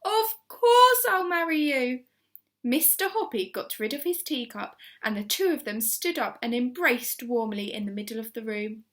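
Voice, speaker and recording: A woman reading a children's story aloud like a primary school teacher, with expressive narration. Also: on the high side, moderate pace, hardly any pauses.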